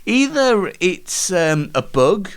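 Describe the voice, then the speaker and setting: Animated man hosting comedy show. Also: high-pitched, slow pace, clean tone. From United Kingdom. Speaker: show host. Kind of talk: light comedic monologue.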